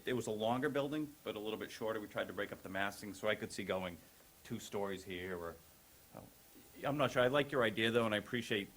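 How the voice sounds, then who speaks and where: A man's voice: lowish voice, fairly bright tone, slight background noise. A man presenting a building project to a town board at a public meeting, speaking earnestly with frequent restarts. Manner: earnest, halting, with many restarts.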